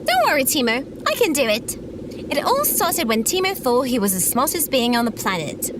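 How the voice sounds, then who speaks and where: Casting: naive, eager little girl robot, high and very lively, sci-fi audio drama. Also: moderate pace, noisy background. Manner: literal-minded, naive, eager. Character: childlike, earnest, chirpy female service robot. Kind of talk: scripted audio drama acting.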